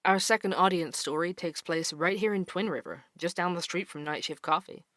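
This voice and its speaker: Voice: medium pitch, normal speed, a bit bright, no room sound, clean studio sound, natural voice. A performer playing a sardonic, irreverent investigative podcast host in an audio drama, talking in a casual, teasing, conversational way. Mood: presenting.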